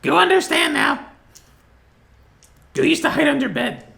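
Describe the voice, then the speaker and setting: A man voicing a dim-witted, childlike small creature in a tabletop game, with broken grammar and a creaky voice. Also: very high-pitched, sing-song, unhurried, sharp tone, exaggerated voice. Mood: confused, simple. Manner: broken simple grammar, refers to self by name.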